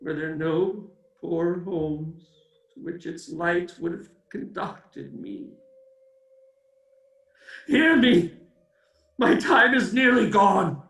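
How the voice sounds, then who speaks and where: A man's voice: high-pitched, drawn-out words, pauses often, fairly bright tone, exaggerated voice. An actor playing the tormented, remorseful ghost of a dead businessman in a radio play, declaiming with grand solemnity in a ghostly reverb. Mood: sorrowful lament, then grave, urgent. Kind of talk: theatrical radio play acting. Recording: echoey, distant-sounding voice.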